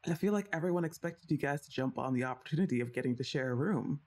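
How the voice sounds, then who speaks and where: A woman's voice: low voice, animated, fast, a little mellow. A young woman voicing her best friend's older sister in an ASMR roleplay, chatting and teasing the listener and laughing often. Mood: playful. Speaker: voice actress.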